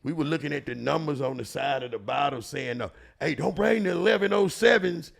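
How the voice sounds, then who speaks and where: Gravelly Houston man telling street stories. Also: on the high side, a little fast. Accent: Houston, Texas. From Houston, Texas. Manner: slangy, profane, emphatic with repeated phrases. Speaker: storyteller and show regular. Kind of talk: casual street storytelling.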